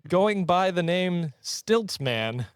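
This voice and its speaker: A man's voice: high voice, animated, slow pace, no echo, studio quality. A man hosting a casual comedy podcast, telling trivia and bantering in a jokey, chuckly way. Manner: jokey, often chuckling as he talks. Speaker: podcast host.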